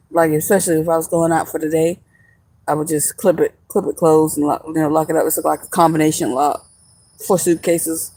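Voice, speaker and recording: A woman's voice: low-pitched, darkish tone, low-quality recording. A woman in her mid-thirties chatting casually on a livestream from outdoors in the woods, laughing often and teasing her viewers. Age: 30s. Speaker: vlogger.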